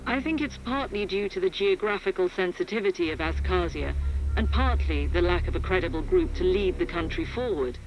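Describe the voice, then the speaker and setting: An actress playing a composed, serious war correspondent, giving a measured and articulate scripted report over a radio link. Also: female voice, lowish voice, animated, highs cut off, soft background music. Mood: grave, measured. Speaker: field reporter. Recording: noisy radio field report.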